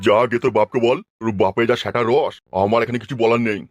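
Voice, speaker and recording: A man playing a bossy, lecherous village elder in a comic cartoon, with gruff, commanding colloquial speech. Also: medium pitch, quick pace, dull audio, slight background noise, natural voice. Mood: dismissive. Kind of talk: exaggerated comic cartoon dialogue.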